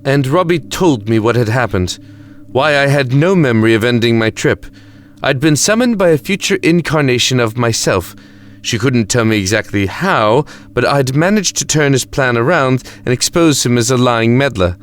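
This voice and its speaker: Audio drama lead: composed, wry male ruler and narrator, played by a man, medium pitch, measured delivery. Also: expressive, a little hiss or hum, lightly acted. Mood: reflective narration. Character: composed, wry male time-travelling lord recalling his past.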